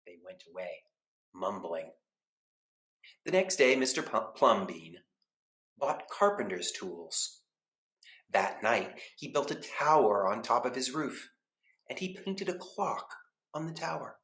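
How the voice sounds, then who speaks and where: A man narrating a children's storybook as a librarian would, expressively, with deliberate pauses between phrases. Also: mid-range voice, very animated, a bit bright. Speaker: school librarian reading aloud. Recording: echoey room.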